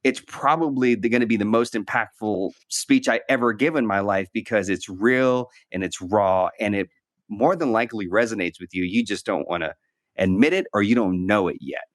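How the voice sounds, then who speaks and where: A man's voice: lowish voice, normal speed, no echo, studio quality. A man hosting a conversational interview, speaking in an earnest, reflective way and repeating phrases for emphasis. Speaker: podcast host.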